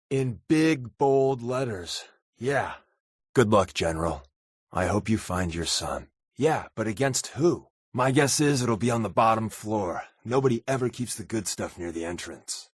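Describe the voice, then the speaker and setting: A man playing a wry, sarcastic spy companion in a video game, with a deadpan, flippant delivery. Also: fairly low pitch, slightly rough, no echo, studio quality, exaggerated voice.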